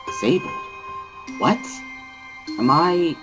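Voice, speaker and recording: A man's voice: fairly high pitch, lively intonation, slow, music in the background, voice pushed up. A man playing an upset teenage boy patient, bitter and protesting, in a visual novel reading. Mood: shocked, anxious. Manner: indignant, protesting.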